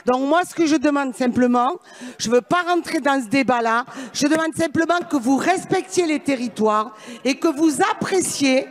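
A woman's voice: high voice, lively intonation, moderate pace. A middle-aged woman giving a formal parliamentary speech, partly read from notes, into a close microphone. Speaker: member of parliament. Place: large parliamentary chamber. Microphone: gooseneck microphone held close.

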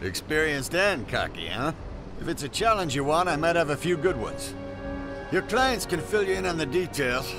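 Easygoing, fairly high-voiced American watchman. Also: big pitch swings, moderate pace, over music. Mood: friendly, wry. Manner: casual, wry. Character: easygoing neighborhood watch man sizing up a recruit. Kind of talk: video game cutscene acting.

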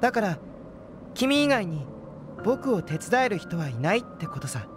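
A man voicing a composed, polite young male alchemist scholar in a game dub, measured and curious. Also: high-pitched, very animated. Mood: explaining. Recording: game audio with clear music.

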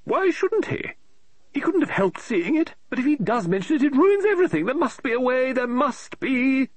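A flustered middle-aged woman novelist, put on by a male audiobook reader, frets aloud in a high, swooping voice. Her talk darts between exclamations and scattered asides. The breathy, dry storybook recording keeps her close. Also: big pitch swings, normal speed, no echo, full character voice. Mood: frantic. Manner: fretful, exclaiming, muttering to herself. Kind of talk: audiobook character voice.

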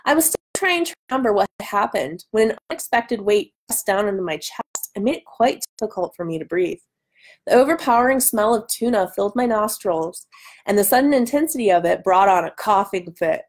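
A woman's voice: mid-range voice, animated, brisk. Give the voice of a woman in her thirties, congested and nasal with a cold. She reads a novel aloud to a live audience online and chats casually between passages. It is picked up by a webcam at home. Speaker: author hosting a live reading. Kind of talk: live book reading with casual chat. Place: small room at home, indoors. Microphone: webcam at arm's length.

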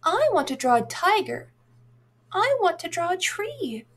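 A woman's voice: very high-pitched, sing-song, a little slow, heavily acted. A woman voicing earnest, insistent young children in a picture book. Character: young children bargaining over crayons, earnest.

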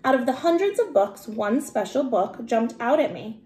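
A middle-aged woman reading a children's story aloud expressively, with deliberate, emphatic phrasing. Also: on the high side, animated, mellow. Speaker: storybook reader. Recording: roomy home recording with noticeable hiss.

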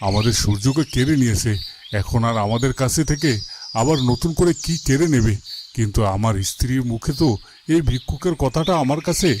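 Worried dad in a fairytale cartoon male actor, mid pitch, fretful, birds chirping behind. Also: noisy background, natural voice. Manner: fretful, questioning, emphatic. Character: anxious grieving village father, brooding and suspicious. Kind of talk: dramatic fairytale cartoon monologue.

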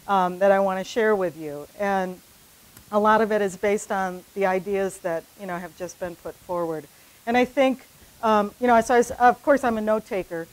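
A woman's voice: medium pitch, rough recording. A middle-aged woman speaking off the cuff at a conference, conversational with many fillers and restarts, building to a rallying finish. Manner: animated, gesturing widely, rising to a rallying finish. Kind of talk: unprepared conference talk. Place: conference room in front of a poster. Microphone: handheld mic.

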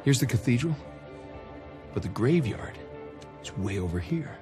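A man's voice: mid-range voice, sing-song, a little slow, over music. A man in his thirties acting an eager, cocky younger treasure hunter in a cinematic video game, with casual, persuasive delivery. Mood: intrigued. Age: 30s.

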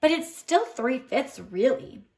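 A woman's voice: high-pitched, big pitch swings, slow, darkish tone, pitched above the performer's own voice, exaggerated voice. A woman voicing a knowing madame in a storybook, explaining things emphatically.

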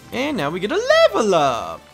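A man's voice: high-pitched, huge pitch swings, fairly quick, vocal fry. A man hosting a let's play with casual, goofy, rambling gameplay commentary. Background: video game music.

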